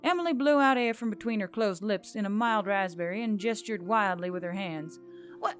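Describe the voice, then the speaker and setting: A woman's voice: medium pitch, brisk, smooth, faint music behind. A woman reading scripted fiction as an audiobook-style narrator.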